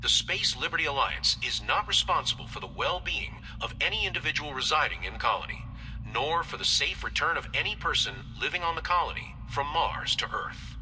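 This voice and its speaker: A man's voice: a little high, lively intonation, brisk, pushed and bright, voice pushed up. A man voicing a cold corporate contract as an official, declamatory recitation with reverberant radio-style processing. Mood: cold, officious. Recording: long reverb, faint noise.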